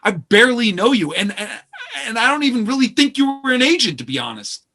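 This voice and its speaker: A man's voice: extremely high pitch, big pitch swings, fairly quick, pushed and bright, full character voice. An actor playing an uneasy, guilt-ridden man in a stage play, hesitant, apologetic and defensive. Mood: defensive, agitated. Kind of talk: naturalistic stage play acting.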